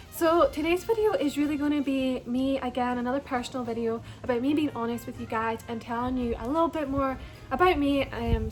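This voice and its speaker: A young woman with a soft Scottish lilt that has picked up some American edges speaks in a bright, high register. She rambles casually to her viewers, full of likes and little laughs, over faint music underneath. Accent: soft Scottish, partly Americanized. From Scotland. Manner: chatty, frequent likes and ums, laughs between words. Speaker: vlogger. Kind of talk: casual personal storytime.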